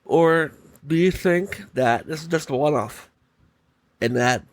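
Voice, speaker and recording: A man hosting a solo podcast, giving casual opinion commentary in short, halting phrases that he often repeats. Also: on the high side. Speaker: podcast host.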